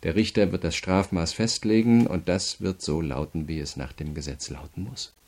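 Radio crime drama: relentless prosecutor, deep creaky voice, measured and coldly courteous, never letting the prisoner off. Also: male voice, darkish tone. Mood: cold, menacing. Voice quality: gravelly. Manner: measured, methodical, coldly polite. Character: cool, relentless male state prosecutor pressing a prisoner.

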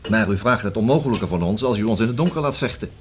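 Man playing a deep-voiced methodical police inspector. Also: animated, lightly acted. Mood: formal, pressing. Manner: formal, deliberate, measured questioning. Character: methodical male police inspector, reasoning and probing. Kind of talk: radio drama acting. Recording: hissy old radio play recording.

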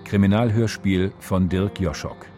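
Male announcer, low warm voice, slow scripted credits, soft guitar behind. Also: steady tone. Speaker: radio announcer.

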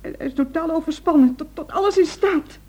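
An actress playing an anxious wife in a Dutch radio play, urgent and stammering with worry. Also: female voice, high-pitched, brisk, darkish tone, a little hiss or hum, natural voice. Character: anxious, pleading wife afraid for her husband. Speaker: actor.

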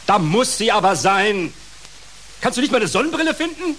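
A man's voice: high-pitched, very animated, moderate pace, fairly bright tone, a little hiss or hum. A male actor playing a grumpy, self-centred uncle in a German radio play, grumbling with impatient sarcasm. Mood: impatient, irritated.

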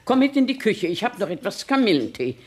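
A woman's voice: mid-range voice, big pitch swings, brisk, a little mellow, a little hiss or hum, natural voice. An older actress playing a kindly, practical grandmother in a 1970s German radio play. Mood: practical, soothing. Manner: homely, motherly.

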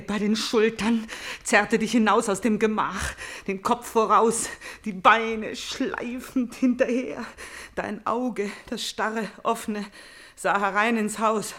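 An actress playing a grieving, vengeful princess in a classical tragedy radio play, with intense, measured delivery. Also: female voice, mid-range voice, fairly bright tone. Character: Elektra, grieving, vengeful princess calling to her murdered father.

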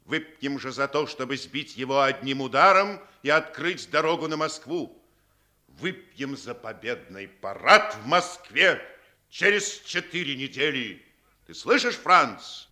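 An actor playing a confident German army officer, solemn and declamatory, in 1950s Soviet radio theatre. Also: male voice, mid-range voice, lively intonation, a little slow, bright, forceful tone. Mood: solemn, triumphant.

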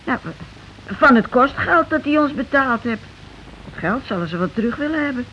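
Radio drama plain housewife: high voice, practical, reluctant doubter with colloquial delivery, muffled old recording. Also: female voice, animated, moderate pace, sharp tone, slight background noise. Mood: doubting. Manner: questioning, colloquial. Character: plain working-class housewife, hesitant, practical, nagging doubter. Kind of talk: Dutch radio play acting.

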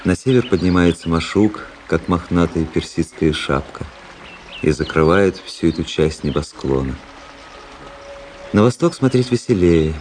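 An actor playing an observant, world-weary officer narrating his diary in a radio play, measured and lyrical. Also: sits low, animated, darkish tone, slight background noise. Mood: contemplative.

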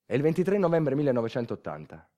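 A man's voice: mid-range voice, sing-song, fast, mellow, natural voice. A man acting a theatrical monologue as a wry everyman storyteller, colloquial. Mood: grave, suspenseful. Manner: wry, colloquial, repeating catchphrases. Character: wry everyman storyteller recalling football and old times. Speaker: actor narrating a monologue.

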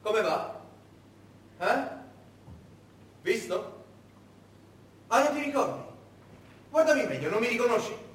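A man plays an insistent freed prisoner of war in a radio play. The high voice needles and taunts, ringing out from far off in a very echoey hall. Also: expressive, slow, pauses often, a bit bright, full character voice. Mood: taunting. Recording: very echoey hall, distant mic.

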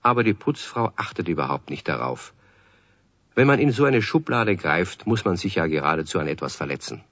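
A man with a husky voice narrating a children's radio play as a scripted story. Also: deep voice, sing-song, brisk, fairly bright tone, dry recording, heavily acted. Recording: dry, muffled 1970s radio recording.

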